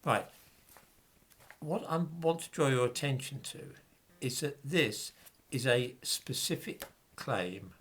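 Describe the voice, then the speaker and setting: A middle-aged man talks to camera, reading out passages and breaking off with dry, dismissive remarks. His voice is slightly husky and his pitch rises and falls in a lively way. He sounds sarcastic and unimpressed. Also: slow pace, long pauses. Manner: dismissive, sarcastic asides while reading quotes. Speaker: commentator on history books. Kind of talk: reading aloud and commenting to camera.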